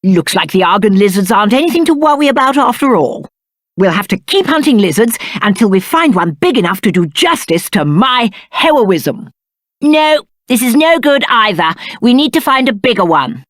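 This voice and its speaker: High-voiced, pompous spoiled young prince. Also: male voice, very animated, normal speed, fairly bright tone, no echo, studio quality, full character voice. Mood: disappointed, pompous. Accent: British. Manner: haughty, whiny, aristocratic diction. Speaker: video game voice actor. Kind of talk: theatrical video game character acting.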